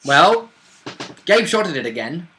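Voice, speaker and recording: A man's voice: a little high, very animated, slow, sharp tone, poor audio. A man in a casual chat, recapping a tabletop game as a player and co-host in a blunt, slangy, joking way.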